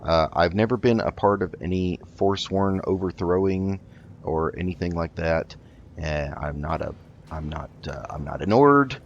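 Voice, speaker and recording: A man improvising in character while playing a video game, speaking politely and haltingly. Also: deep voice, animated. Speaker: let's play host. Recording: dull lo-fi mic with noticeable noise.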